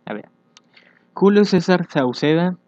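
Young man, slightly high voice, casual vlogger, hesitant, thinking aloud, dry muffled home recording. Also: expressive, a little slow, darkish tone, dry recording. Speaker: fandub YouTuber. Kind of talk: casual reaction vlog, reading his social media aloud. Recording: dull, lo-fi home microphone.

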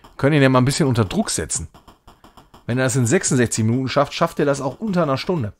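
A man's voice: medium pitch, animated, fast. A man hosting a game stream, chatting casually and rambling as he thinks aloud while he plays. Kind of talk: casual live gaming commentary with chat.

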